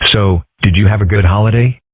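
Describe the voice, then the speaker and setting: A man voicing a calm, philosophical, dryly skeptical young fox boy in an amateur comic dub, with measured, deadpan delivery. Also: lowish voice, husky, dry recording. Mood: wry. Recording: muffled, tinny phone-like audio.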